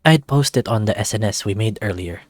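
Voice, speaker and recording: A man's voice: on the low side, lively intonation, fairly quick, dry recording. A man voicing a sly, self-assured male silver fox mentor in a visual novel, coaxing and matter-of-fact.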